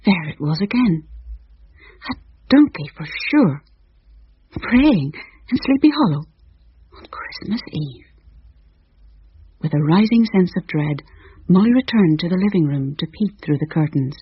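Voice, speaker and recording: Breathy higher-voiced guy narrating an old radio story, dry dull sound. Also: animated, unhurried, long pauses, no echo. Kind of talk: scripted radio drama narration.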